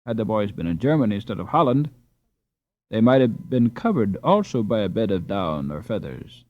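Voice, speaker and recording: A man's voice: fairly low pitch, big pitch swings, normal speed, no room sound. A man reading a children's novel aloud as a scripted audiobook narration. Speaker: audiobook reader. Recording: slightly dull, dry recording.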